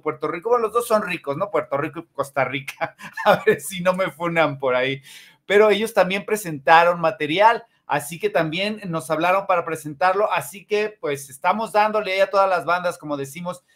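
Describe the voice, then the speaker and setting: High-pitched Mexican man hosting casually. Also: a bit bright. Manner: rambling, repeats phrases. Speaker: host of a music interview show. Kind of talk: casual, chatty show hosting. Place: indoor room, on a video call. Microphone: video call, wearing headphones.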